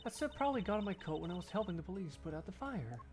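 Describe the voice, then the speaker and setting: A woman's voice: fairly low pitch, big pitch swings, very fast, a little mellow. A woman playing a cool, defiant agent with a dismissive, controlled manner in a game fandub. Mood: guarded. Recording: music behind the voice.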